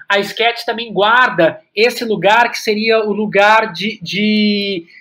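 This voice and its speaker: A man's voice: high voice, pushed and bright. A man with a Brazilian accent talking reflectively as an interview guest, repeating words as he thinks aloud. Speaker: guest playwright.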